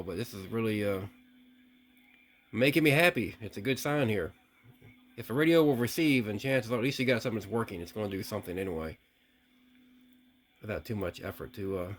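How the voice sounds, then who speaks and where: A man's voice: on the low side, slight background noise. A man giving a casual, unscripted hands-on demonstration, thinking aloud with some hesitation. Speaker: vlogger testing vintage CB radios.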